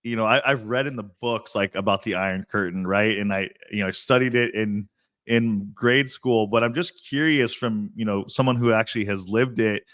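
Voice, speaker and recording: A man's voice: on the low side, moderate pace, a bit bright, no room sound, studio quality. An American man co-hosting in casual chat, informal and inquisitive. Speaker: co-host.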